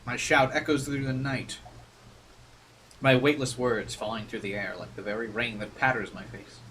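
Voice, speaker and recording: A man's voice: mid-range voice, steady tone, moderate pace, a bit bright, recorded from a distance, a little hiss or hum, poor audio. A man streaming a game, switching between casual commentary and reading the narration aloud. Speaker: streamer playing a visual novel.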